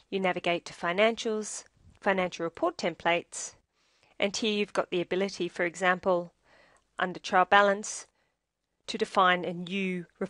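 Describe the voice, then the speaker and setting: A woman presenting a software demo as a scripted tutorial narration, in a measured, instructional manner. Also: mid-range voice, no echo, studio quality.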